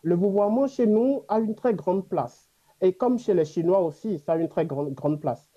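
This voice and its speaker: A man from Africa whose first language is a Gbe language, talking slowly and thoughtfully with frequent fillers. His voice is very dark and mellow and a little higher than usual. The recording is dull and lo-fi. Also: lively intonation. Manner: hesitant, many fillers, reflective. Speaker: guest. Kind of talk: casual interview answers.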